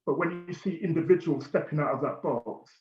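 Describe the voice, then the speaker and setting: A man's voice: fairly high pitch, fairly quick. Earnest man in an echoey room. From United Kingdom. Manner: earnest, repeats words when stressing a point. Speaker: panelist. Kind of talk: panel discussion. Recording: echoey, muffled room sound.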